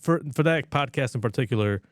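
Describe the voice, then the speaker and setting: A man whose first language is Polish rambles through a casual podcast chat, restarting sentences and leaning on fillers. His pitch sits in the middle of the male range. The mic sound is dry, with no room around it. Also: expressive, a little fast, no room sound. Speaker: podcast co-host.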